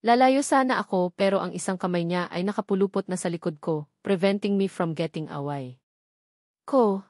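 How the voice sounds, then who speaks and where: A woman's voice: on the low side, moderate pace, darkish tone, no echo, studio quality. A woman reading a scripted romance novel aloud as a first-person narrator. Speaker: audiobook narrator.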